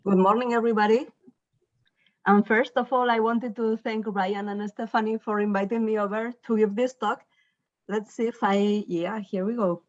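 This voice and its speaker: A woman from northern Spain with a Spanish accent, giving an academic keynote talk as a professor in a somewhat halting, self-repeating way. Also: mid-range voice, steady tone, no echo, highs cut off. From northern Spain, Basque Country. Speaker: university professor, invited keynote speaker. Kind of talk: academic presentation.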